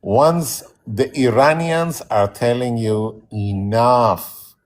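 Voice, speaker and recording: Blunt slow-talking man. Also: mid-range voice. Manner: blunt, emphatic, broken English. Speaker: debate participant. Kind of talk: heated political debate.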